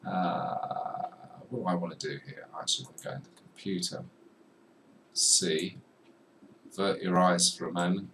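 A young man talks through a screen-recorded software lesson, chatty and joking at himself as he clicks around. His voice sits low. He's a little distant from the desk mic, with faint background noise in the small room. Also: steady tone, moderate pace, long pauses. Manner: chatty, self-deprecating, talks to viewer while clicking. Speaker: music software tutor.

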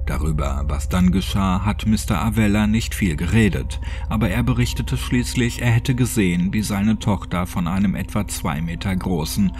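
Low-voiced man narrating horror over music. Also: darkish tone. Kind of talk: scripted audiobook narration of a creepypasta. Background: background music.

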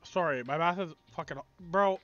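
A man in his late twenties streaming a game and chatting casually, excitable and swearing freely while talking over his friend. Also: a little high, moderate pace, dull audio, soft background music. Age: 20s. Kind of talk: casual chat while gaming. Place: small bedroom with colorful wall.

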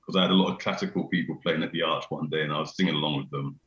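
A middle-aged man with a deep bass voice, chatting casually as a guest on a video call, repeating himself and laughing often. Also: steady tone, racing, a bit bright. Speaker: venue owner, guest. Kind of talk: casual chat. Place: small room indoors. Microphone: video call webcam. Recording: roomy, dull video-call audio.

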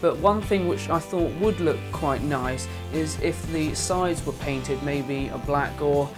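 A young man talks through a woodworking build step by step in an even, calm voice-over. Clear background music plays beneath his narration. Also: fairly high pitch, takes it slowly. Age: young adult. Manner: calm, explains each step plainly. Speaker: woodworking channel host.

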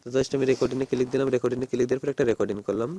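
Fast-talking man, mellow voice. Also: mid-range voice, fairly even intonation, a little hiss or hum. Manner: repetitive, keeps addressing viewers as friends. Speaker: host of phone app tutorial videos. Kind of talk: casual screen-recorded tutorial.

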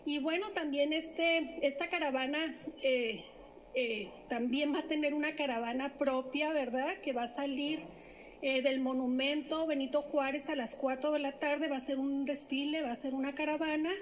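High-voiced woman at a press conference. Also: fairly even intonation, slight background noise, poor audio. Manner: halting, many fillers and restarts. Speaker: municipal government official. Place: indoor press room. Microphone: stand microphone.

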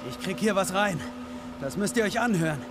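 An actor playing an edgy, outspoken young-sounding squad soldier in a dubbed video game. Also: male voice, high voice, fairly quick, noisy background. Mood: uneasy.

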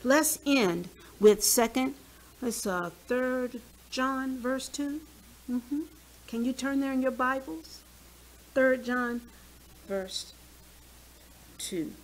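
A woman's voice: sits high, drawn-out words, pauses often. A woman of sixty giving a health talk in a small church hall, chatting with the audience and asking them questions as she speaks from a podium mic. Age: 60s. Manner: lively, conversational, asks the audience questions.

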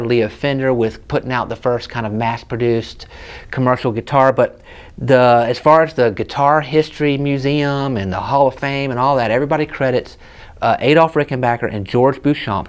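A man in his thirties with a Southern US accent, casually telling stories and explaining a guitar in a shop. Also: medium pitch. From South Carolina, USA. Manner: chatty storytelling, explaining gear hands-on. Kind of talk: casual gear demo chat. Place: guitar shop room with hanging guitars, indoors.

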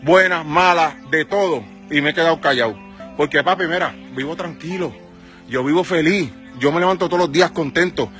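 A man's voice: a little high, a little fast, fairly bright tone, soft background music. Heated Puerto Rican man venting outdoors. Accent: Puerto Rican Spanish. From Puerto Rico. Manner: heated, confrontational, rhetorical questions. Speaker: music manager. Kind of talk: angry selfie-video rant. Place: outdoors on a sunny residential street. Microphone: phone at arm's length.

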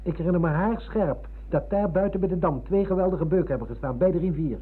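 A man playing a nostalgic, earnest middle-aged visitor to his old hometown in a radio drama, polite and husky. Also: high-pitched, fast. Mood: wistful, insistent. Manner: earnest, polite, dwelling on words. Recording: narrow phone-like old radio recording.

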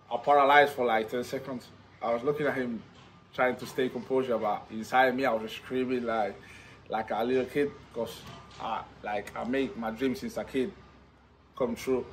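A man's voice: medium pitch, fairly bright tone, slight background noise, rough recording. Young Spanish-accented man, eager and earnest. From Spain. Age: 20s. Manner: enthusiastic, non-native English with simple phrasing. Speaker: footballer. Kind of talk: post-signing interview. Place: outdoor football stadium stands.

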